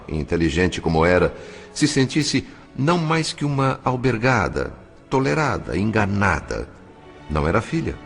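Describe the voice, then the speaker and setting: Deep-voiced Brazilian man narrating. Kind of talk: scripted dramatic narration. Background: background music.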